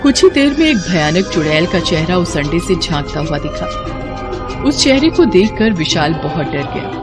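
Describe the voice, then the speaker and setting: A woman's voice: fairly low pitch, big pitch swings, normal speed, loud music behind the voice. A woman narrating a scripted horror story for children as a storyteller.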